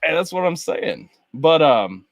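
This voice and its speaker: A man hosting a casual music interview, talking in an animated way with lots of "like" and "you know". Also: fairly high pitch, unhurried, creaky, no room sound, studio quality. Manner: animated, filler-heavy, lots of "like". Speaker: podcast host.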